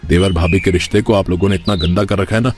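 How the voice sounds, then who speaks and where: Low-pitched, earnest loyal husband played by a man. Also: fairly even intonation, fast, music in the background, natural voice. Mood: firm. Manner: earnest, principled. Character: trusting, loyal husband and elder brother. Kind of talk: cartoon story character acting.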